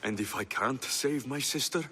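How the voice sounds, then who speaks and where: A man's voice: medium pitch, moderate pace, sharp tone, slight background noise, close to an everyday voice. An actor voicing a young male mercenary warrior in a game cutscene, earnest and blunt. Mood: calm. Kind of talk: video game cutscene acting. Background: game score music.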